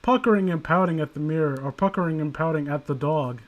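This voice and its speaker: A man's voice: a little high, fast talker, lo-fi sound, noisy background. A man hosting a comedic read-along, reading a story aloud and riffing on it irreverently, prone to breaking into laughter. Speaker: host reading a creepypasta aloud with friends.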